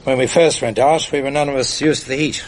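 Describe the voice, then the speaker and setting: A man's voice: medium pitch, steady tone, fast talker, close to an everyday voice. Understated ageing English officer played by a man, noisy recording. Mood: reminiscing. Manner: clipped, understated upper-class speech. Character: ageing, world-weary British former officer and father. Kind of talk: period radio drama dialogue. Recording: noisy archive radio drama recording.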